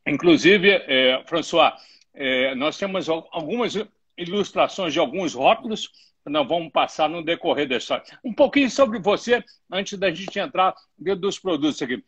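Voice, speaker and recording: A man's voice: fairly high pitch, animated, normal speed, a bit bright, breathy edge. A middle-aged man hosting a live interview from home, chatty and joking and stumbling over his words. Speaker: host. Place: home room, indoors. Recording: muffled lo-fi live stream.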